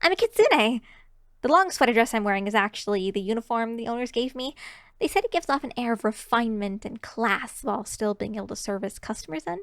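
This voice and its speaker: A woman's voice: on the high side, animated, brisk, a bit bright, dry recording, clean studio sound. A woman voicing a shy, cheery young kitsune barista in an ASMR roleplay, chatting to the listener in a bashful, hesitant, giggly way. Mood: flustered.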